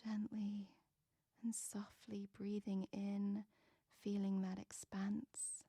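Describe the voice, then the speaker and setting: A woman softly whispering a guided meditation with slow, soothing breath counts. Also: medium pitch, monotone, breathy edge, dry recording.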